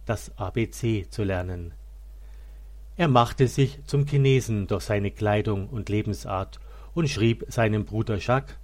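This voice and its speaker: Man, fairly low voice, scripted narration, religious biography, solemn, measured delivery. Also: a little mellow.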